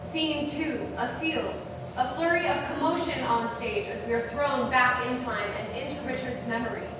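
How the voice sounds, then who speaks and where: A woman's voice: high voice, normal speed. A woman narrator reading scripted stage directions aloud at a live theatre reading. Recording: very echoey hall, distant, noisy.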